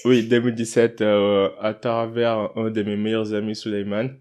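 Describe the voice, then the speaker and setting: A man hosting a podcast, chatting in a relaxed way with frequent fillers and laughs. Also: on the low side, normal speed. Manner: relaxed, laughs often, many fillers and restarts. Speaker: podcast host. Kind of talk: casual interview.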